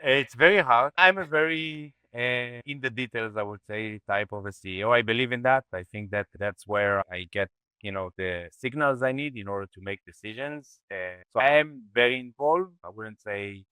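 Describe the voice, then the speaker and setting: A man's voice: medium pitch, normal speed, sharp tone, clean tone, no room sound. A man from Israel, a startup founder, answering interview questions in a thoughtful, reflective way. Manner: thoughtful, reflective, with frequent restarts. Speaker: startup CEO guest. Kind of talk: podcast interview.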